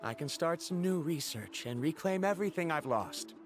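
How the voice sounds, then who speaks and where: An actor playing an earnest, awkward scholar in a video game, speaking formally and a little hesitantly. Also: male voice, on the high side, lively intonation, moderate pace, over music, close to an everyday voice. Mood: resolved, awkward.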